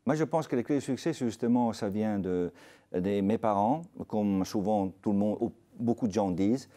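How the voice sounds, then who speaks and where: An older man from Italy with an Italian accent, a restaurateur telling stories in a TV interview, halting with many fillers. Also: fairly low pitch, mellow. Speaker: restaurateur guest. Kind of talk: TV interview, anecdotal.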